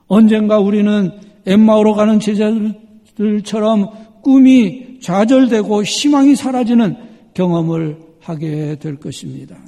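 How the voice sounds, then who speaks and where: A man in a church preaching a sermon, his voice set high for a man and roughened with a gravelly edge. He speaks in a measured, emphatic way and leans on repeated phrases. The sound carries a long reverb from the hall around him. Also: dark tone. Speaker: pastor.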